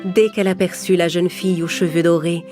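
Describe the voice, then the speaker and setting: A woman's voice: fairly low pitch, level tone, brisk. A woman storyteller reading a scripted fairy tale for children. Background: music.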